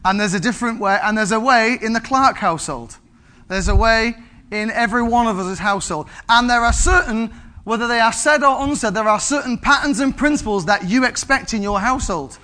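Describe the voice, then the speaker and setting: A man, preaching an animated sermon to his congregation and calling out for amens. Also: sits high. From England. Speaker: pastor.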